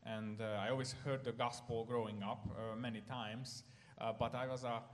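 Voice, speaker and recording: A man's voice: medium pitch, level tone, moderate pace, big-room sound. A man with a Hungarian accent, raised in Ukraine, telling his story at church, hesitant with many fillers. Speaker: guest speaker. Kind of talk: church testimony talk. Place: church hall.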